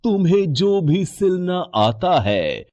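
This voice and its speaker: A man acts an old wandering holy man from a folk tale, kindly and gentle. He draws out his words very slowly, with swinging intonation and a close, dry sound. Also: high voice, very animated, dry recording, exaggerated voice. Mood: kindly, solemn. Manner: slow, gentle, blessing, deliberate. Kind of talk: moral story acting.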